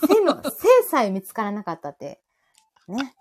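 A woman's voice: mid-range voice, very animated, measured pace, a little mellow, slightly rough. A middle-aged woman with a Kansai accent chatting casually and playfully as co-host of a live stream, prone to giggling.

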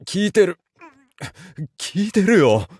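A man playing a cowardly, cheating young boyfriend in a live audio drama, hesitant and pleading, with a husky, breathy voice. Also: high-pitched, sing-song, slow pace, darkish tone, no echo, clean studio sound, exaggerated voice. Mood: panicked, pleading.

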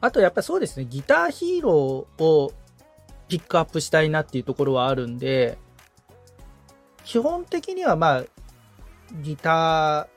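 Higher-voiced man thinking aloud. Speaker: podcast assistant, guitar repairman being coached. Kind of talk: casual, reflective discussion.

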